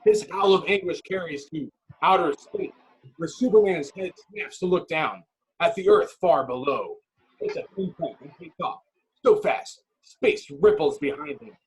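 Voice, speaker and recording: A man's voice: on the high side, lively intonation, unhurried, rough recording. A man narrating a screenplay's action with intense, clipped dramatic phrasing. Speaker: narrator reading stage directions.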